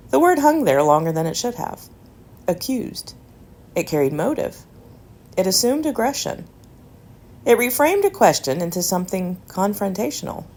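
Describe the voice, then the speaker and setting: Female essay narrator, creaky low-leaning voice, measured and reflective delivery, scripted read. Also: darkish tone. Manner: measured, reflective, deliberate phrasing with short pauses. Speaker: essayist reading own work.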